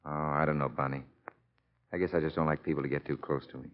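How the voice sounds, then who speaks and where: A man's voice: very deep voice, fairly even intonation, fast talker, slight background noise. An American man playing a laid-back, modest young college football player in a 1940s radio drama, laconic and offhand. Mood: casual, guarded. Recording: dull lo-fi 1940 radio recording.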